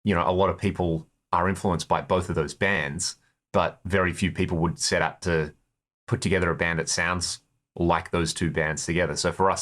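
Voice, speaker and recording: A man's voice: deep voice, a bit bright. A man with an Australian accent chatting as an interview guest, telling stories about his own experiences in a conversational way. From Perth, Australia. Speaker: interview guest, guitarist in a touring band.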